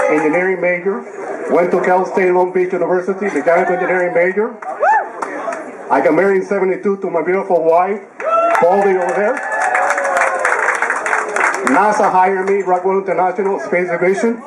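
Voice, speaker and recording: A retired man in his sixties from Cuba, giving a brisk, good-humored speech to a reunion crowd. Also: sits high, fairly even intonation, very noisy. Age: 60s. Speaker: retired engineer, reunion guest. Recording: loud crowd in a hall.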